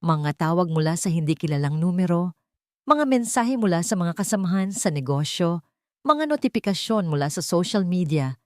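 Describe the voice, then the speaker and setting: A woman narrates a scripted drama as a storyteller. Her voice sits a little low. The sound is very dry, with almost no room to it. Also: very animated, normal speed, darkish tone, dry recording.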